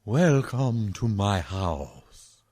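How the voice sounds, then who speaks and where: A man playing a courteous, menacing old vampire count in a radio play, speaking slowly and ceremoniously. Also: on the low side, big pitch swings, a little mellow, full character voice. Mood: stately welcome. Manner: slow, deliberate, ceremonious.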